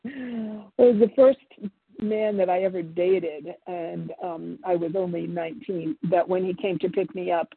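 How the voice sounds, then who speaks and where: A woman in her seventies whose first language is Italian, sharing her story at a recovery meeting in a halting, reflective way with many pauses and restarts. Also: on the low side, steady tone, gravelly, husky, no echo. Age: 70s. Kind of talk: personal testimony at a recovery meeting. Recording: phone-line audio.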